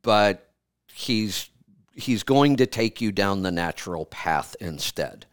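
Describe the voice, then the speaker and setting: A man with a low, smooth voice hosts a radio health show from a dry, close studio mic. He talks firmly and directly, repeating his points to steer the caller. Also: animated, slow, no echo. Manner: firm, direct, repeats himself. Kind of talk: advising a caller on air.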